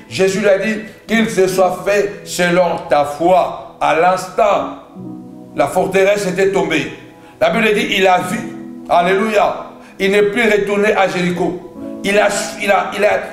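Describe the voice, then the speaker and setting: A man's voice: high-pitched, unhurried. A man preaching a fervent charismatic sermon in a declamatory way, hammering phrases through insistent repetition. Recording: echoey room with background music.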